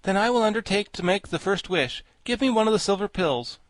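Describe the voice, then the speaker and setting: A man puts on a young boy from a storybook, plucky and easily riled. The voice sits high and jumps about in pitch. The delivery is exclamatory and a touch petulant. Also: expressive, a little fast, dry recording. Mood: plucky, eager. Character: young boy, plucky, excitable and quick to sulk. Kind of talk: storybook character voice.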